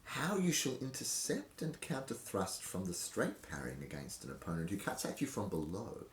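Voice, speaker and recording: Theatrical man with a very animated delivery. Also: low-pitched, slight background noise. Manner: theatrical, emphatic delivery with dramatic pauses. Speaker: host reading aloud from a historical treatise. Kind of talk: casual intro, then dramatic reading of an old fencing manual. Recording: roomy, echoing indoor sound.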